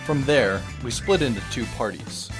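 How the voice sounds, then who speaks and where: A man playing a wry, weary teenage schoolboy who narrates an audio drama in a dry, deadpan way. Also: medium pitch, moderate pace, over music, lightly acted. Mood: wry, pensive. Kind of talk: scripted first-person audio drama narration.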